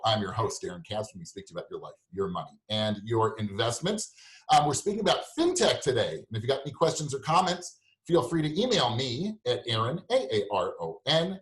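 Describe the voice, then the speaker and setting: A man's voice: on the low side, fairly bright tone, roomy sound, poor audio. A man hosting a show and interviewing a guest in a conversational, informal, slightly rambling way.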